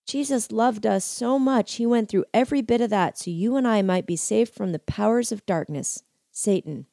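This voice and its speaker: A man's voice: sits high, lively intonation, normal speed, a little mellow, no room sound, studio quality, pitched above the performer's own voice. Woman doing a Southern-drawling older biker preacher. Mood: tearful. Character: fiery small older male biker preacher.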